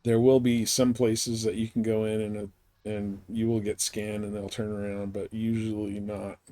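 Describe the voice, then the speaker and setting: A man's voice: fairly low pitch, level tone, moderate pace, mellow. A man giving casual, rambling gameplay commentary as a live streamer, explaining things as he goes.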